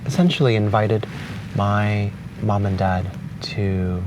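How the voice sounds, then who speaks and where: Man, young, from Hong Kong, native Cantonese, reflective, pausing, outdoor beach, noisy background, passing cars. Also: on the low side, steady tone. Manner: thoughtful, pausing with restarts. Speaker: vlogger. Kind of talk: reflective spoken letter. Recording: distant outdoor mic with noise.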